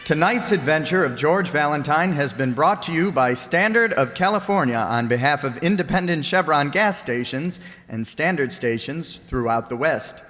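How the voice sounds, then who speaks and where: A man's voice: a little high, expressive, moderate pace, few pauses, fairly bright tone, faint music behind. A man announcing a recreated old-time radio show in a scripted style. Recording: echoey hall with noticeable noise.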